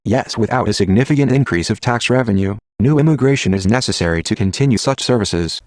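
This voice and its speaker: A man's voice playing a calm, well-read AI assistant, measured, formal and impartial in scripted dialogue. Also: on the low side, no room sound, studio quality, close to an everyday voice. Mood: measured, informative.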